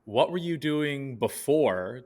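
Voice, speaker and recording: A man's voice: medium pitch, animated, takes it slowly, a little mellow. A man hosting an interview podcast, asking casual, upbeat, rambling questions. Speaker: podcast host.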